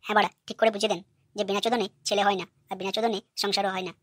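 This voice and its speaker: A woman's voice: medium pitch, flat delivery, rapid-fire, very noisy, close to an everyday voice. A woman voicing a hot-tempered, aggrieved young son-in-law who rants brashly in a cartoon comedy. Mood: angry, ranting. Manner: brash, ranting.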